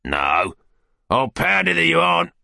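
A man's voice: fairly low pitch, big pitch swings, normal speed, creaky, pushed and bright, no room sound, clean studio sound, heavily acted. A man voicing a brutish, bitter London criminal in an audiobook, growling and surly with a Cockney accent. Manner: surly, demanding. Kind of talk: audiobook character acting.

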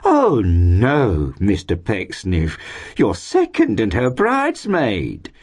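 A man voicing a bony, effusively affectionate landlady in a storybook reading, gushing and exclaiming in drawn-out phrases. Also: medium pitch, a little mellow, voice pushed up, close to an everyday voice. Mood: fond flattery.

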